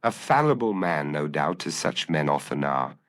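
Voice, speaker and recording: A man playing a brilliant, impassive detective in a gothic audio mystery, calm, probing and precise. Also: very deep voice, moderate pace, dry recording, full character voice. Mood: analytical.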